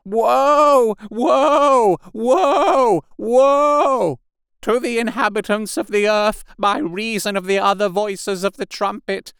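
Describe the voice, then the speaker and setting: A man's voice: sits high, slow, a bit bright, clean tone, dry recording, studio quality, voice pushed up, heavily acted. A man voicing a half-mad curate in an audiobook, ranting like a doomsday preacher with fervent, wailing declamation. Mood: frenzied prophetic shouting. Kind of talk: dramatic audiobook character voice.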